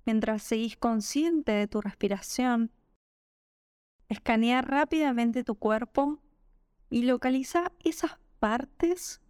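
A woman with a Rioplatense Spanish accent narrating a guided meditation in a gentle, calming, unhurried manner. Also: on the high side, clean tone, dry recording, studio quality. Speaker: yoga nidra meditation guide.

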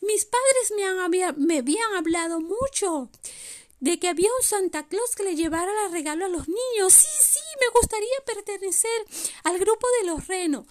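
A woman plays a little young male reindeer in a children's tale. The voice is extremely high and childlike. It is timid, plaintive and earnest, full of exclamations. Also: animated, normal speed. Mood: excited. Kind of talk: children's storytelling character voice.

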